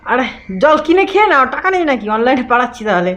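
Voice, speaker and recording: A woman teases someone over the phone in a quick, fairly high voice, laughing as she keeps up the prank. The call is recorded with clear background noise behind her. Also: sing-song. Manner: teasing, laughing while fibbing. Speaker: prank caller.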